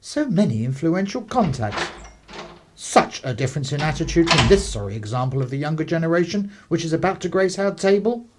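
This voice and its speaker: Middle-aged English clergyman father, played by a man, stern and pompous, formal delivery, lively intonation, for period radio drama. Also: on the high side, a little fast, slight background noise. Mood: stern reproach. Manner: formal, disapproving. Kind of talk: radio play acting.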